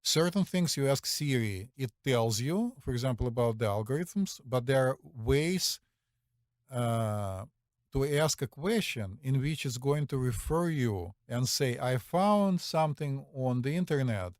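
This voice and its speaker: A man's voice: mid-range voice, animated, measured pace, dry recording, studio quality. A man with a Russian accent hosting a casual chat, rambling and hesitant with many fillers. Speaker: podcast host.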